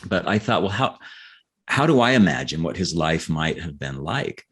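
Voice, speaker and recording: A middle-aged man giving conversational interview answers as a guest author, telling his story haltingly and repeating words as he thinks. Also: sits low, sing-song, a bit bright, slightly rough. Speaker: guest novelist.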